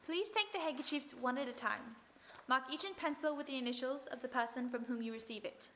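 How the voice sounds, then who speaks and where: A woman playing a calm, authoritative female detective in a radio play, speaking in a measured way. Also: sits high, a little hiss or hum. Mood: composed. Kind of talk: 1940s radio acting.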